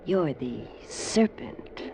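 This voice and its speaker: A woman's voice: sits low, very animated, drawn-out words, creaky, full character voice. An actress playing a greedy, scheming young woman in a 1940s radio drama, coaxing and cold, then nagging and jittery. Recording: old radio broadcast with hiss.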